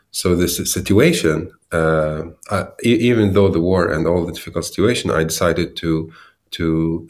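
Deep-voiced Syrian-born man, halting speech. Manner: hesitant, many fillers and restarts. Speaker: startup founder and CEO. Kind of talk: interview answers.

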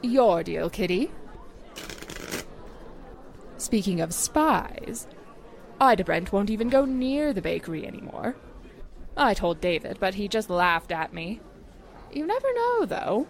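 A woman's voice: medium pitch, sing-song, slow, vocal fry, long pauses, a little hiss or hum, heavily acted. A woman acting a suspicious, insinuating small-town housewife in a 1940s-style radio drama. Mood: suspicious gossipy.

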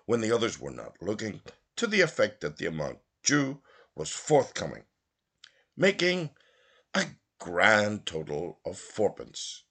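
A low-voiced man narrates a literary audiobook. His delivery is slow and theatrical, with pitch that swings widely from phrase to phrase. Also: huge pitch swings, fairly bright tone, slightly rough. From Belfast, Northern Ireland. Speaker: literary reader.

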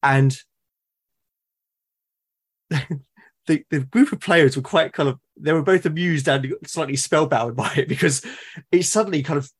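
A British man in casual, reflective conversation, explaining things in a halting, thoughtful way and often rephrasing himself. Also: on the high side, slightly rough. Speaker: podcast guest or co-host, tabletop game master. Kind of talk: casual reflective conversation about roleplaying games.

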